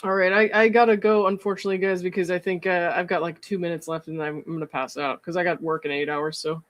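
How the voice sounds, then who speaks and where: A woman's voice: lowish voice, fairly even intonation, fast talker, no room sound. A woman hosting a casual livestream, reading out viewer messages and thanking donors. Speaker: livestream host.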